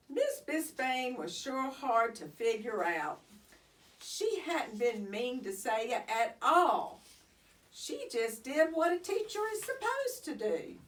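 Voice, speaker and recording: A woman narrating a children's story expressively, with deliberate, dramatic phrasing. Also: medium pitch. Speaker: storybook reader. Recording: slightly distant microphone.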